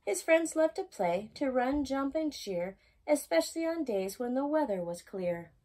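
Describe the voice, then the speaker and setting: A woman reading a rhyming children's picture book aloud as a storytime host, with expressive, singsong emphasis. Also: high voice, moderate pace.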